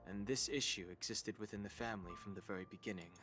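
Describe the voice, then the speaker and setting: An actor voicing a composed, thoughtful male traveler in a video game, explaining things in a measured, formal way. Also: fairly low pitch, over music, close to an everyday voice. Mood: serious.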